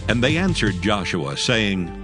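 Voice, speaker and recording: A man giving scripted scripture narration in a measured, formal way. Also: fairly low pitch, big pitch swings, music in the background.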